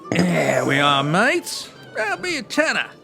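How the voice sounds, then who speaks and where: A man's voice: high-pitched, wildly swooping pitch, slow pace, vocal fry, sharp tone, soft background music, exaggerated voice. A man playing a friendly, chatty bartender with a Cockney accent in a comedy audio drama. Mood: chummy. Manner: chummy, colloquial. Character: friendly Cockney bartender, male, chatty, protective of a local lady.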